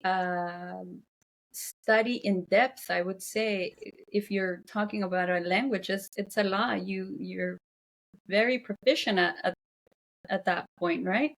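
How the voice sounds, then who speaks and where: Woman from Peru, interview host, medium pitch, casual, hesitant, searching for words. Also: fairly even intonation, unhurried, rough recording.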